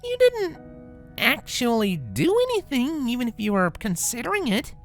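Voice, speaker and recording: A man voicing a gentle, awkward female pony princess with a soothing, hesitant manner in a storybook reading. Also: extremely high pitch, wildly swooping pitch, normal speed, no echo, faint music behind, voice pushed up, heavily acted.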